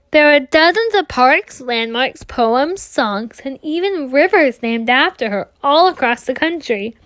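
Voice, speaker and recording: A woman reading a children's picture book aloud in an expressive storytelling style, drawing out words for emphasis. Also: high-pitched.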